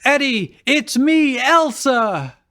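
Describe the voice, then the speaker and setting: A man's voice: very high voice, sing-song, slow, sharp tone, voice pushed up, heavily acted. A man voicing an earnest young princess heroine in a fan-fiction read-aloud. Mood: urgent calling.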